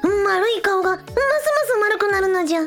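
A woman's voice: very high-pitched, lively intonation, fairly quick, a bit bright, soft background music, full character voice. A woman voicing a cute, proud little dragon in a radio drama, childlike with quaint old-fashioned sentence endings. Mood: teasing.

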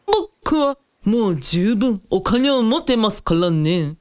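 A woman playing a flippant, wealthy male cult leader in a comic radio drama, with theatrical, over-polite speech and playful drawn-out exclamations. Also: medium pitch, sing-song, a little mellow. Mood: playful, smug.

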